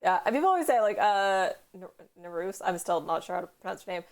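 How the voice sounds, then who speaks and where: A young woman from Canada hosting a casual stream chat, rambling quickly with lots of "like" and casual swearing. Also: medium pitch, lively intonation. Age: young adult. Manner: fast, rambling, heavy use of "like", swears. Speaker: streamer host.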